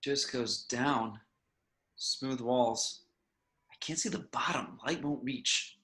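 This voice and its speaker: A man playing a steady, commanding spaceship captain in an amateur table read, giving clipped orders. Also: mid-range voice, slow pace, roomy sound. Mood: measured, watchful. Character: steady, commanding spaceship captain exploring a derelict alien ship.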